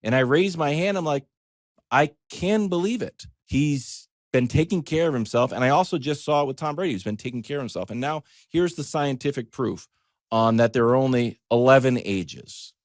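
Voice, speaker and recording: Animated middle-aged American man, casual storyteller. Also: mid-range voice, moderate pace, no room sound, studio quality. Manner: conversational, wry, acts out quoted asides. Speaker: sports show host telling a story. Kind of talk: casual comic monologue.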